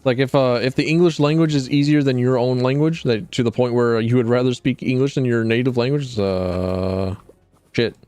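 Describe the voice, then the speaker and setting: A man's voice: medium pitch, steady tone, normal speed, darkish tone, smooth, no room sound, soft background music. A man streaming a video game, chatting casually in a rambling, wry way and often correcting himself. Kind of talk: casual gameplay commentary.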